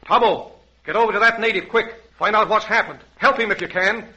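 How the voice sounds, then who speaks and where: Bright, forceful vintage radio adventure captain. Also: male voice, high voice, brisk, full character voice. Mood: urgent, commanding. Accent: American. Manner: dramatic, commanding, theatrical. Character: bold commanding safari captain, storyteller to children. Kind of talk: old-time radio adventure serial acting. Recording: dull, noisy vintage radio recording.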